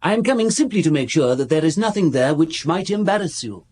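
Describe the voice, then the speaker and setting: A fussy foreign ministry official in a radio play. His voice sits rather high and a bit rough, swinging up and down as he talks. He is formal, circumspect and pedantic. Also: animated, fairly quick, darkish tone. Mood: cautious, officious. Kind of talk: radio drama acting.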